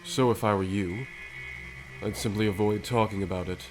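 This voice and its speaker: A man acting a mysterious, composed visitor with uncanny powers in an audio roleplay, speaking formally and deliberately. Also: on the low side, fairly even intonation, music in the background, natural voice, low-quality recording. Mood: calm, cryptic.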